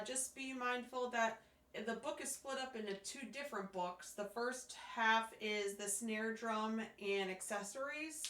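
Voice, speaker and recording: A woman teaching a beginner percussion lesson, patiently explaining step by step while hoarse from losing her voice. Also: a little high, a bit bright. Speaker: music teacher. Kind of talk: instructional demonstration. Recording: echoey room.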